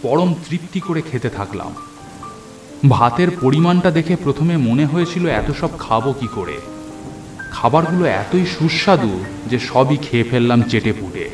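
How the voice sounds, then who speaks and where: A man's voice: mid-range voice, lively intonation, a little slow. A man narrating a first-person horror story in a dramatic storytelling style. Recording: echoey narration over music and rain.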